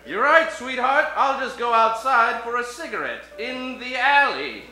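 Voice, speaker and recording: Man playing a high-pitched, animated detective hero. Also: a little slow, exaggerated voice. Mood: loud affection. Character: earnest, suspicious pulp detective hero, a man. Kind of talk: comedic old-time radio play acting. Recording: roomy live stage, music behind.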